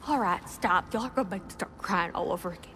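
An actress playing a hearty, affectionate warrior companion in a video game, with folksy speech. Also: female voice, on the low side, fast talker, a bit bright, big-room sound, slight background noise, lightly acted. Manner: folksy, says y'all. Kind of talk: video game dialogue acting.

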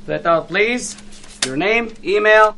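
An actor playing a calm math teacher with dry, deadpan humor, in a classroom. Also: male voice, sits high, a little slow, vocal fry, a little hiss or hum, heavily acted. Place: classroom indoors.

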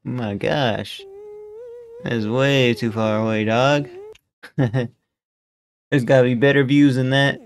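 A man's voice: mid-range voice, fairly even intonation, slow pace, pauses often. A young man giving laid-back, slangy reaction commentary as a streamer at his desk. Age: young adult. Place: indoor room at a desk.

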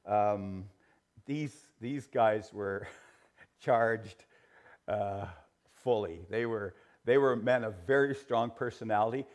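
A middle-aged man teaching a church history Sunday school class in a small sanctuary, gesturing as he talks, with frequent fillers and restarts and a voice that trails off quietly. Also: on the low side, slow.

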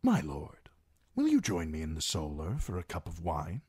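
A man voicing a sly, courteous lord in a fantasy audiobook, speaking in a mild, measured, apologetic way. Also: deep voice, wildly swooping pitch, a little mellow, breathy edge, dry recording, studio quality, full character voice. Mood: courteous, unruffled. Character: smooth, soft-spoken, sly, courteous lord.

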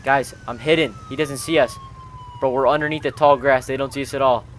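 A man's voice: medium pitch, wildly swooping pitch, a little fast, a little hiss or hum. A young man commentating on gameplay casually with friends, exclamatory and full of slang like bro and dude. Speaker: gaming video host.

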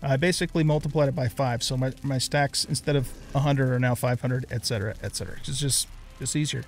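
A man's voice: medium pitch, fairly even intonation, fast talker, soft background music. A middle-aged man giving casual let's play commentary as a YouTube host, rambling and thinking aloud as he plays.

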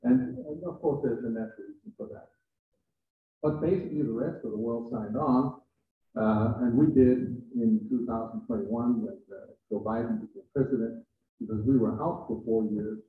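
A man from Denmark giving a conversational university presentation, asking the audience questions as he goes. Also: moderate pace. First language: Danish. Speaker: guest presenter. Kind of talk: lecture presentation. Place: lecture room at a university. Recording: distant, roomy, tinny with faint rumble.

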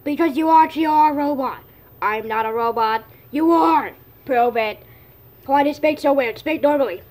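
A woman's voice: high voice, normal speed, a little mellow, natural voice. A woman playing a brash, playful polar bear in an amateur cartoon skit, blunt and teasing. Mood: accusing.

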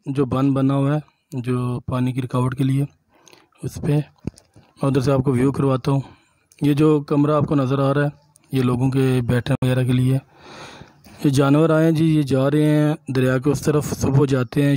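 A man, a village vlogger from Punjab, calmly explaining sights to viewers while he walks outdoors and films on his phone. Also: medium pitch, no room sound. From Punjab, Pakistan. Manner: calm, explanatory, addresses viewers directly. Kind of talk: walking tour narration.

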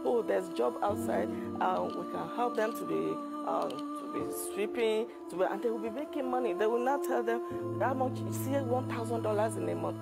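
A woman's voice: on the high side, normal speed, creaky. A woman speaking earnestly as a guest in a TV panel discussion. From Nigeria. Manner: earnest, explanatory. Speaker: TV talk show guest. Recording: TV clip with loud music bed. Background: loud music.

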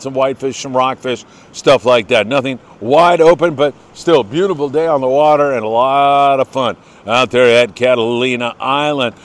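An older American man hosting a casual fishing report, chatty, folksy and enthusiastic. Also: medium pitch. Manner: chatty, enthusiastic, folksy, congratulating people by name. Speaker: host of a fishing report show.

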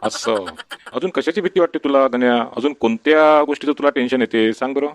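A man's voice: mid-range voice, fairly quick, no room sound, close to an everyday voice. A man playing a village doctor in a comic cartoon, coaxing and colloquial. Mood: patient, probing. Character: male village doctor, patient but easily exasperated.

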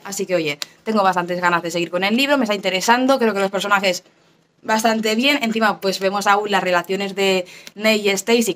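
A hoarse young woman chatting casually to camera as a book vlogger, recorded at home on a phone or camera held close. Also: mid-range voice, a bit bright, a little hiss or hum. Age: young adult. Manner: chatty, quick asides and fillers. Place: small rooms at home and an outdoor terrace.

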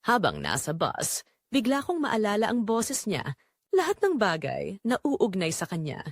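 A woman's voice: mid-range voice, wildly swooping pitch, unhurried, no echo, clean studio sound. A woman narrating a first-person romantic drama in an intimate, dramatic way. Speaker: audio story narrator.

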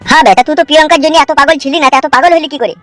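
A man's voice: very high-pitched, racing, a bit bright, no room sound. A man voicing a blunt, meddling gang buddy who questions and corrects people in a comedy cartoon, music behind. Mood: probing. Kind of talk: Bengali comedy cartoon dubbing.

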